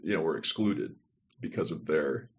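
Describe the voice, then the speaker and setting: A man's voice: extremely low pitch, animated, moderate pace, lo-fi sound. A man co-hosting a podcast, talking in a digressive, think-aloud way over a remote call. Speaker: podcast co-host. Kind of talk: casual discussion.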